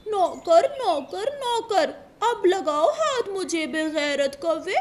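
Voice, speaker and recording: A woman voicing a proud, sharp-tongued mother crow in a Hindi cartoon story, with a dramatic manner. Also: very high voice, big pitch swings, slow pace, heavily acted. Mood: furious.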